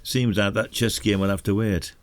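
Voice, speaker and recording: A man's voice: fairly low pitch, little pitch movement, normal speed, close to an everyday voice. A man playing an older retired detective in an audio drama, a frank, coaxing village sleuth. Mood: genial, curious.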